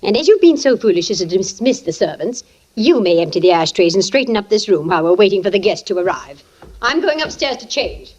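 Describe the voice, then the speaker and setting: An actress playing an imperious, belittling middle-aged wife who scolds and gives orders in 1940s radio suspense style. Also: female voice, mid-range voice, big pitch swings, brisk, darkish tone, husky. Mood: scolding. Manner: imperious, scolding, clipped commands. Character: bossy, nagging, belittling wife. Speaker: radio drama actor. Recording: muffled old radio recording.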